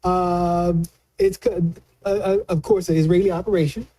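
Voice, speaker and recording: A man giving conversational political commentary as a news analyst, colloquial and halting, often repeating words as he explains. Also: sits high, steady tone, warm tone.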